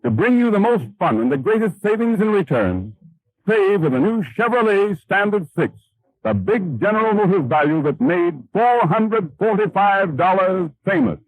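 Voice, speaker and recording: A man's voice: high-pitched, animated, moderate pace, no echo, poor audio. An American man reading a scripted 1930s radio advertisement with an emphatic, polished sales manner. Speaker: radio announcer. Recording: 1930s radio broadcast, dry.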